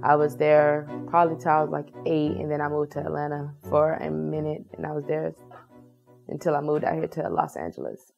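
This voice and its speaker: A woman's voice: low-pitched, a little fast, vocal fry, lo-fi sound. A woman from Louisiana, a spoken word poet, talking reflectively about her life in a documentary interview. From Louisiana, US South. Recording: music underneath.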